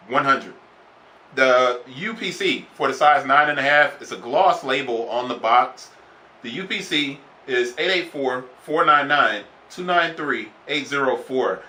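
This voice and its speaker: A man's voice: medium pitch, bright, forceful tone, echoey room. A man casually reviewing a sneaker on camera, rambling and enthusiastic, repeating himself as he goes. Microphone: camera then phone.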